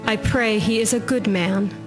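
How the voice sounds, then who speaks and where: A woman acting a caring, gently formal mother in a historical audio drama. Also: mid-range voice, moderate pace, over music, natural voice. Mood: tender, hopeful. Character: caring mother of a young bride, 1910s.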